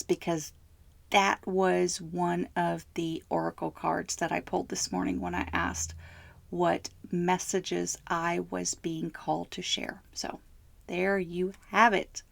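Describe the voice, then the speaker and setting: A middle-aged woman hosting her own podcast, hoarse from bronchitis, speaking slowly, heartfelt and candid. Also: fairly low pitch, no echo. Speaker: podcast host. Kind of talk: solo podcast monologue.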